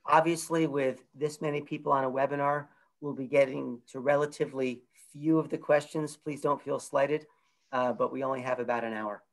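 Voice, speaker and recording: A man's voice: medium pitch, steady tone, moderate pace. Man in his fifties hosting a webinar. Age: 50s. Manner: loose, filler-heavy delivery. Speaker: event moderator. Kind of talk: webinar introduction. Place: home room with shelves. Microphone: video call.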